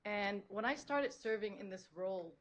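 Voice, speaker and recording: A woman's voice: mid-range voice, animated, moderate pace. Earnest woman presenting formally. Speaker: charter school principal presenting to a board. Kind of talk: prepared public presentation. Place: public board meeting room, at a podium.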